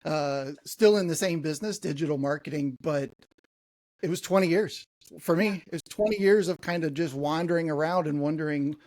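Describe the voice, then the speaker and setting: A man's voice: a little high, normal speed, a little mellow. A man hosting a marketing podcast, chatting in a friendly, casual way with frequent fillers. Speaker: podcast host. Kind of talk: podcast interview.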